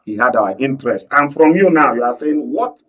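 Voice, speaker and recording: A man's voice: mid-range voice, expressive, fairly quick, a bit bright, distant mic, highs cut off. A man with a Nigerian accent on a political panel, challenging and interjecting with pointed, probing remarks. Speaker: panel guest, media analyst.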